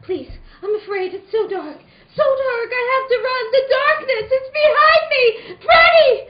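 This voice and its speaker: A woman's voice: very high voice, lively intonation, a little slow, far from the mic. A woman playing a terrified, desperate trapped woman who pleads and wails in old-time radio drama style. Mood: frightened, pleading. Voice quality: tearful, wailing. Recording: roomy, dull amateur recording.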